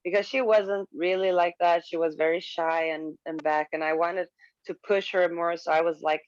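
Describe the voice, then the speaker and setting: A woman's voice: lowish voice, steady tone, no room sound. Woman chatting openly, lots of restarts, kinda muffled dead-dry sound. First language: Swedish. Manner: candid, halting with frequent restarts and laughs. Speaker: guest. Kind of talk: casual video-call conversation.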